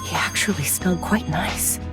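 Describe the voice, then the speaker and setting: An actress playing a stern, guarded high-ranking hunter in a game cutscene, speaking with curt authority. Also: female voice, moderate pace, music in the background, close to an everyday voice. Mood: puzzled, intrigued. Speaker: voice actor playing a supporting character. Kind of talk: English game cutscene acting.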